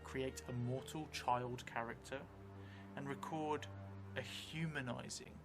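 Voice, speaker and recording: A man in his forties, narrating a solo role-playing game and thinking aloud in a musing, halting, muttering way. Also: mid-range voice, steady tone. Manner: musing, halting, drifting into muttering while writing. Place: indoor theatre stream set, seated at a table. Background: background music.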